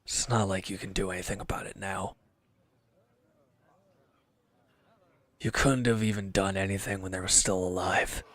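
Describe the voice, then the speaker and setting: A man's voice: low voice, level tone, fairly quick, a bit bright, dry recording. A man acting in an audio roleplay as a sullen, defensive wounded villain, bitter and withdrawn, speaking in curt, grudging, evasive phrases. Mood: irritated, weary.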